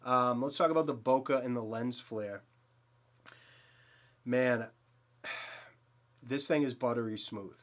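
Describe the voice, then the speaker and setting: A man's voice: mid-range voice, slow, pauses often. A man in his thirties with a Boston accent, talking casually and eagerly to camera about a portrait lens in a small dim home studio, rambling with fillers and restarts. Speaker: photography channel host. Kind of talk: casual gear review to camera.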